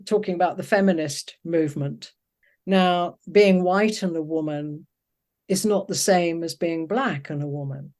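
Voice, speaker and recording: Older woman, low pitch, thoughtful, searching for words, reflective interview talk. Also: darkish tone. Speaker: interviewed poet.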